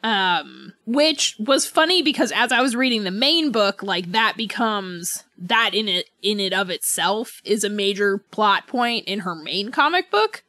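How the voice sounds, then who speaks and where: A woman co-hosting a podcast in casual book club chat, giggly and rambling in an animated fan's manner. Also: mid-range voice, a little slow, few pauses, no echo. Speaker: podcast co-host.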